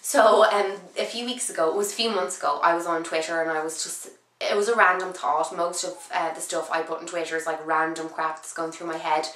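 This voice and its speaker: A young woman with a cold, talking casually and at length as a beauty vlogger, her voice husky from being ill, with many ums and restarts. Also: on the low side, fairly even intonation, a little fast, a bit bright, reverberant, low-quality recording. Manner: chatty, rambling, frequent "um" and restarts. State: sick, voice husky and low. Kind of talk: casual chat to camera.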